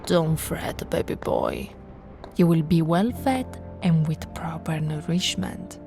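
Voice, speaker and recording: A woman's voice: sits low, very animated, gravelly, music in the background, heavily acted. A woman playing a possessive, aristocratic vampire in an ASMR roleplay, haughty and seductive, drawing out her words and dipping into whispers. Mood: possessive, coaxing. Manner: haughty, sultry, drawn-out delivery with whispered phrases. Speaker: voice actor.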